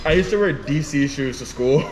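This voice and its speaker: A young man from Chicago, a musician guest, chatting casually in an interview. He gets animated, laughs often and leans on "like" a lot. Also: mid-range voice, moderate pace, a little hiss or hum, poor audio. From Chicago, United States.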